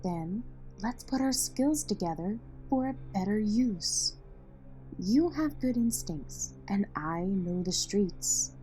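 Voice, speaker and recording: An actress playing a street-smart young woman vigilante in an audio drama, assertive and teasing in a measured way, with wry confidence. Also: medium pitch, expressive, darkish tone, soft background music, poor audio. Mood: persuasive.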